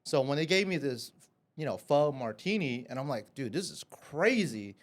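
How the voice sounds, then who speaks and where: A man's voice: mid-range voice, very animated, normal speed. A man from Vietnam, a café owner, telling his life story as a podcast guest in a casual, filler-heavy way, often ending with "right?". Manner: chatty, many fillers and "right?".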